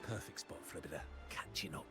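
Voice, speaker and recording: A man's voice: extremely low pitch, fast, music in the background. A man playing an easygoing, loyal senior mob henchman in a video game, with a casual, worldly-wise manner. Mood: chatty, resigned.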